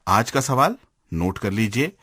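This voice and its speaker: A man's voice: on the low side, sing-song, a little slow, bright, forceful tone, slightly rough, no echo, clean studio sound. A man hosting a radio show, presenting from a script and addressing the listeners directly. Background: music.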